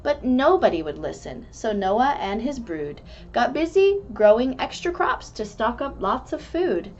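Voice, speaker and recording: A woman reads a rhyming storybook to children in a fairly high voice. Her delivery is very animated and measured, with lots of melodic movement. The recording is a little dull, with faint noise behind her. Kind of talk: expressive read-aloud of a rhyming children's book.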